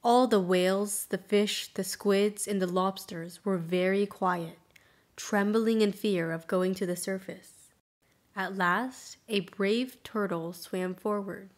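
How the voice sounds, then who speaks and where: A woman reads a folktale as its narrator. Her pitch sits in the middle range, and she phrases the scripted story in a measured, clear way. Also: steady tone.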